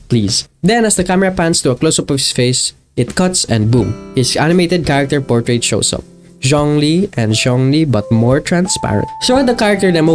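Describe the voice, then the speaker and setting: Male video essayist, mid-pitched voice, informal and self-deprecating delivery, soft plucked-guitar music underneath. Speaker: video essayist, channel host. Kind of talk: casual scripted video commentary. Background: guitar and plucked-string music.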